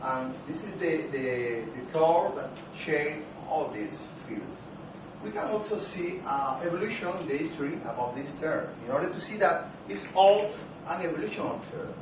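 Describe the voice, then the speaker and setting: A man with a Spanish accent lectures at a conference, pausing often on fillers and restarting phrases. He talks without a microphone in a large hall, so his voice reaches the camera from far off. Heavy reverberation and steady background noise surround every word. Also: a little high. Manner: unamplified, halting with many fillers and restarts. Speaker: keynote speaker. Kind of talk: academic conference keynote. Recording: echoey hall from far off, noisy.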